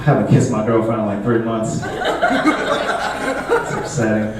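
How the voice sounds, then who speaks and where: A young man performing a stand-up comedy set in a relaxed, conversational way, full of filler words. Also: fairly low pitch, a little slow. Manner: laid-back, conversational, frequent filler words. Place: comedy show venue with a live audience. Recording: echoey room, distant mic, audible noise.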